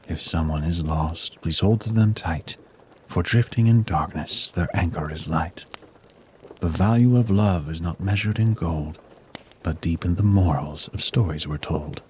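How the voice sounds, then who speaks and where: A man storyteller reading a rhyming Christmas poem in a solemn, measured way. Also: low-pitched, a little mellow, a little hiss or hum. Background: music.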